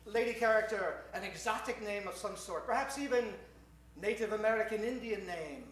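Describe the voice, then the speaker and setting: A man with a very high-pitched voice works a live audience as stage announcer. He is courteous and mock-formal, like an old-time radio showman. He is heard far from the microphone in a very echoey hall. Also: moderate pace, fairly bright tone. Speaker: stage announcer warming up an improv show. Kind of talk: theatrical live emceeing to an audience.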